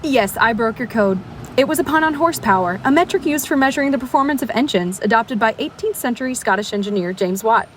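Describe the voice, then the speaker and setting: A woman's voice: fairly high pitch, animated, fast, natural voice. An actress playing an earnest, cautious young woman historian who talks in a precise, prim, exasperated way in an audio drama. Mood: dry, pedantic. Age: young adult. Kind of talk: horror audio-drama dialogue. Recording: noisy street and vehicle ambience.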